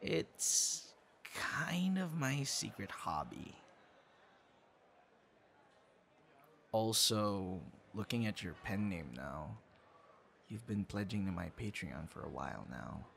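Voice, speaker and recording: A man playing a bashful young man with a secret hobby in a boyfriend audio roleplay, talking in a halting, flustered, conversational way. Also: lowish voice, sing-song, a bit bright, full character voice. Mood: sheepish, confessing. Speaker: ASMR audio roleplay performer. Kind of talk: intimate boyfriend ASMR roleplay acting. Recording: clear background noise, ambience.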